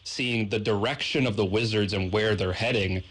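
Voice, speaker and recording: An American man asking measured, conversational interview questions as a sports radio co-host. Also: on the low side, a bit bright, breathy edge.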